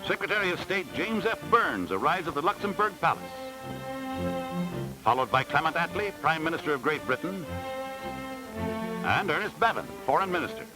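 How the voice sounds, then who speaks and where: Dramatic high-pitched 1940s newsreel man. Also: sing-song, moderate pace, long pauses, music in the background, low-quality recording. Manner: dramatic, formal announcer delivery. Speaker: newsreel commentator. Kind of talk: 1940s newsreel scripted narration. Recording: vintage 1940s newsreel film soundtrack.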